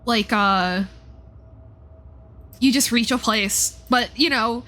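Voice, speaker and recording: A woman live-streaming a game with casual, expressive, sweary commentary full of exclamations. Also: a little high, slow. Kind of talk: casual reactive gameplay commentary.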